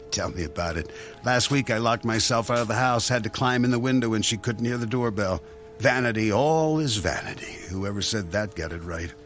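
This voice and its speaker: An actor playing a smooth, scheming husband who is glib, coaxing and sardonic, in a radio drama. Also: male voice, on the low side, normal speed, a bit bright, soft background music, close to an everyday voice. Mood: sardonic, confiding.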